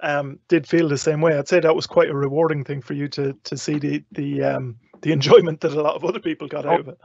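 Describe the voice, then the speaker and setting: An Irish man presents a live online show in a fairly high voice with noticeable fry. His sound is close and dry, with the top end dulled as on a modest call microphone. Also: fairly even intonation, fast talker, darkish tone, dry recording. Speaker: show host. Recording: dull, close lo-fi online audio.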